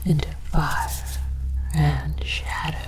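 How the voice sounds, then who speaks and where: Slow, whispering woman playing alien scholar. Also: sits low, little pitch movement. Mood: mock-scholarly. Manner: deliberate, theatrical, mock-scholarly. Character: alien archaeologist researcher, scholarly and condescending about humans. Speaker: host of an ASMR series. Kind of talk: whispered ASMR scripted narration.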